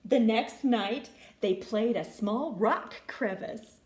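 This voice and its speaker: A woman narrating a children's storybook in an expressive, theatrical way. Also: on the high side, slow, echoey room. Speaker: storybook reader.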